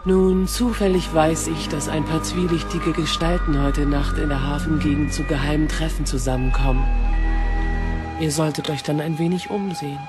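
A woman playing a mysterious cloaked stranger in an audio drama, calm, cryptic and persuasive. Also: sits low, normal speed, close to an everyday voice. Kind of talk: German audio drama acting. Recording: music behind the voice.

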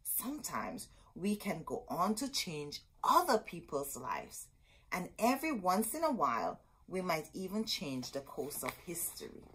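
A middle-aged woman hosting a storytime, reading a picture book aloud expressively with dramatic, deliberate phrasing and asides to her listeners. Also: on the low side, wildly swooping pitch, a bit bright, a little hiss or hum, rough recording. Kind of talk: expressive children's read-aloud. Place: small indoor room with bookshelves.

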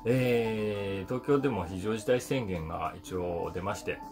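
Man, actor, vlog talk to camera, lowish voice, reflective, filler-heavy, music bed. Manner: thoughtful, unhurried musing with many fillers. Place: indoors in front of a brick backdrop.

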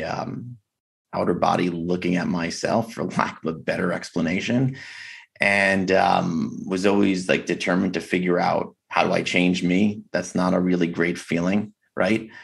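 Low gravelly guy on a video call, kinda husky, lots of um and like, thinking out loud. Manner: reflective, halting, many fillers and restarts. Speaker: podcast guest. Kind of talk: candid conversation.

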